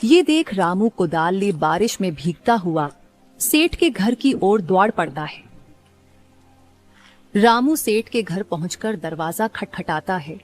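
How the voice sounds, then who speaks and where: A woman's voice: mid-range voice, expressive, takes it slowly, soft background music. A woman reading scripted narration for a story as its narrator.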